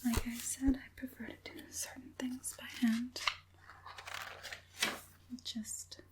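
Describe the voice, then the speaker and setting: A woman playing an evil witch puppet master in an ASMR roleplay, whispering softly in a slow, soothing and quietly persuasive way. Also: on the high side, flat delivery. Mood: calm, methodical. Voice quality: breathy whisper.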